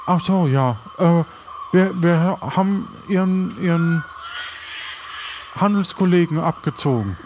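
A man's voice: a little high, animated, takes it slowly. A man playing an earnest, awkward adventurer in an improvised audio drama, speaking hesitantly and politely. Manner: hesitant, polite, tag questions. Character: Sören, earnest, awkward young male pirate adventurer. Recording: faint background noise.

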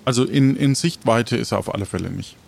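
A man's voice: medium pitch, fast, vocal fry. A man acting as game master, improvising the narration of an audio adventure game and answering a player.

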